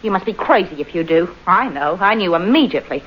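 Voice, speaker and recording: An actress playing a bitter, suspicious wife, scolding and sarcastic, in mid-century radio drama. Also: female voice, fairly low pitch, expressive, fast, a bit bright, husky. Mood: bitter, defiant. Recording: faintly noisy vintage radio recording.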